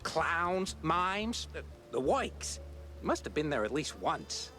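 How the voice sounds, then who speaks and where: An actor playing an eager, chatty informant sidekick in a noir cartoon game, hesitant but excitable. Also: male voice, fairly high pitch, slow pace, soft background music, full character voice.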